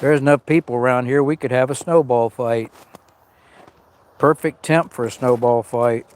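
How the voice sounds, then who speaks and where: A man's voice: medium pitch, steady tone, moderate pace, darkish tone. Chatty man livestreaming from the cold woods. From Arizona. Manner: rambling, chatty, greets viewers by name. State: sniffling from a cold nose. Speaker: camping livestream host. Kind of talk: casual livestream chat greeting viewers. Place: outdoors in cold woods by a stove. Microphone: small mic worn on him.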